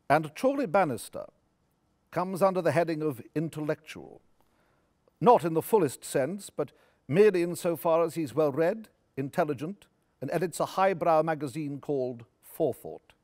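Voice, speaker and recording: A middle-aged man reading scripted character descriptions aloud on stage in a measured, articulate, dry manner. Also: a little high, dry recording. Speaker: reader at a panel event. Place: on stage in a theatre.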